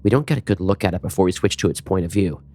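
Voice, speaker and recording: A man reading scripted screenplay narration for an audio drama, measured and ominous in his storytelling. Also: deep voice, dark tone.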